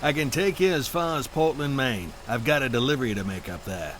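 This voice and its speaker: A middle-aged trucker acted by a man in an audio drama, folksy and plain-spoken with a tough streak. The voice sits at a medium pitch, with clear vehicle noise behind it. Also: lively intonation, fairly quick, fairly bright tone, close to an everyday voice. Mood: easygoing.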